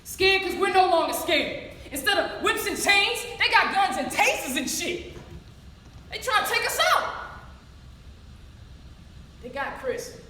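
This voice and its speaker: A woman's voice: very high-pitched, animated, measured pace, pauses often, exaggerated voice. A woman playing a wounded yet faithful grieving woman in an emotional, colloquial theatrical monologue. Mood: anguished, angry. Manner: emotional, colloquial, emphatic, pleading. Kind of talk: theatrical one-act stage monologue. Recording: roomy echo, distant mic, loud noise.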